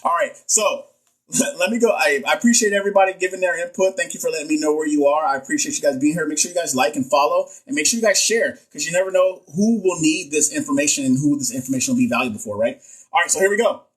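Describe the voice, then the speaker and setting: A man's voice: on the high side, fast, fairly bright tone, husky, low-quality recording. A man from Texas, a nurse educator hosting a casual live stream, joking in slang while he reads viewer comments and reviews quiz answers.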